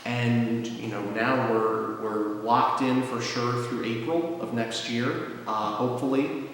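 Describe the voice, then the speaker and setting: A man's voice: medium pitch, steady tone, slow, fairly bright tone. A man talking casually in an interview as a radio theater group member. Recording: echoey hall with long reverb.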